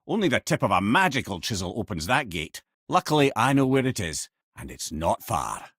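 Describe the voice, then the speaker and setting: A man's voice: lowish voice, sing-song, brisk, a bit bright, husky, dry recording, clean studio sound, exaggerated voice. A man playing a witty, learned old talking head in a video game, an eloquent storyteller and loyal companion with a Scottish accent. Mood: explaining. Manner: wry, eloquent, colloquial storyteller. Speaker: companion and lore-teller.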